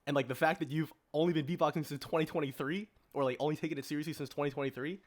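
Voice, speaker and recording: A man's voice: fairly high pitch, steady tone, very fast. A man interviewing a guest on a podcast in a slangy, enthusiastic, casual way. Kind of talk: casual chat.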